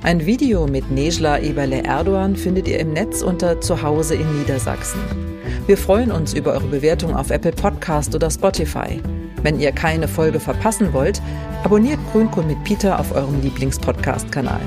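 A woman host reads a podcast script in a clear, even way. Faint music plays beneath her narration. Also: sits low, moderate pace. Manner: clear, even read-aloud delivery.

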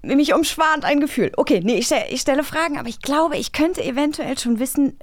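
A woman co-hosting a podcast in casual, enthusiastic, expressive chat. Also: high voice, a little fast, no room sound, studio quality. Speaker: podcast co-host.

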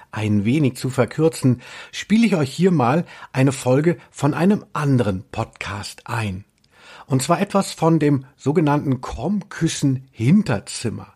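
A man's voice: mid-range voice, darkish tone, husky. A man co-hosting a casual comedic podcast in a playful, tongue-in-cheek way. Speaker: podcast co-host.

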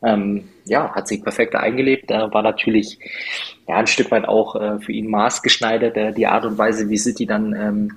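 Low husky man on a football podcast. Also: little pitch movement. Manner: halting, many fillers. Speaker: podcast co-host. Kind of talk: casual football podcast chat.

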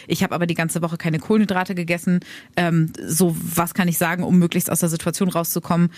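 A woman's voice: lowish voice, level tone, a little mellow, no room sound. A woman co-hosting a casual podcast, speaking earnestly with frequent hesitations. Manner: earnest, halting with many fillers. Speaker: radio podcast co-host. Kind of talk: casual chat.

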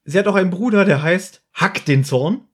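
A man's voice: high-pitched, moderate pace, slightly rough. A man co-hosting a casual podcast chat, explaining an episode recap and checking for agreement. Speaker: podcast co-host.

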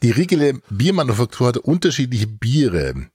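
A man's voice: medium pitch, sing-song, moderate pace, darkish tone, slightly rough, dry recording, clean studio sound. A man hosting a casual podcast chat in a jovial, teasing way. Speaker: podcast host. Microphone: close studio mic with headset.

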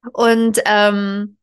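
A woman's voice: mid-range voice, clean tone. A woman in her forties hosting a book podcast, explaining casually as she talks with a co-host and thinks aloud. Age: 40s. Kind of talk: casual book discussion between co-hosts.

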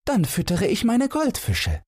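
A man's voice: sits high, wildly swooping pitch, a little slow, a little mellow, breathy edge, no echo, clean studio sound, pitched above the performer's own voice, full character voice. A man voicing a cheerful, curious young princess in a fairy tale audiobook, chatty, playful and artless. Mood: cheerful, carefree.

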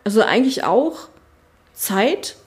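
Halting, thoughtful woman politician. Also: a little high, sing-song. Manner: thoughtful, halting, many fillers and restarts. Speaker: politician, spokesperson for family and education. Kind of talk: casual interview talk. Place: her constituency office.

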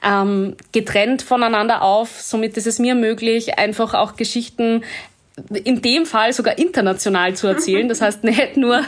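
Woman, podcast host, chatty, enthusiastic, higher voice, video call. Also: moderate pace. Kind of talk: interviewing.

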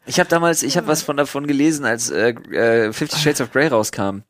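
A man's voice: mid-range voice, fairly quick, a bit bright, dry recording, clean studio sound. A young man co-hosting a podcast in casual, crude, slangy and jokey banter. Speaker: podcast co-host.

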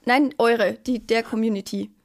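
A woman with a rather high voice talks easily on a podcast, thoughtful at times and quick to laugh. Her speech carries the polish of a trained performer. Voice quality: trained actor's voice. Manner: relaxed, reflective, laughs easily. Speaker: podcast co-host and singer. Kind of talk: casual podcast chat.

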